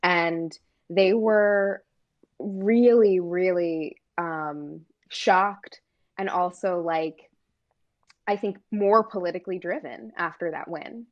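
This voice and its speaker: Female co-host from Maine, lowish voice, chatty filler-heavy delivery, dull lo-fi audio. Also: takes it slowly. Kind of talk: casual political chat.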